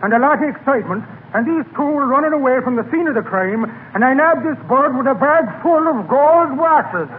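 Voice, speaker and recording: High-voiced blustering Irish cop. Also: male voice, animated, fairly quick, hardly any pauses. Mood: reporting. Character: blustering Irish beat cop, pushy and suspicious. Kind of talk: 1940s radio comedy acting. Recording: tinny noisy 1940s radio recording.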